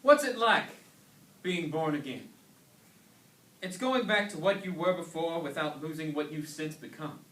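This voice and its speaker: A man's voice: on the high side, a little slow, long pauses, pushed and bright. A man giving a dramatic staged reading of a sermon, telling it like a story in pointed, emphatic phrases. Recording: echoey hall, recorded from far off.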